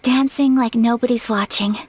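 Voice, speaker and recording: A woman playing a gentle, devoted young noblewoman in a video game, speaking softly and earnestly with refined tenderness. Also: a little high, steady tone, normal speed, a little hiss or hum, lightly acted. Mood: wistful, soft.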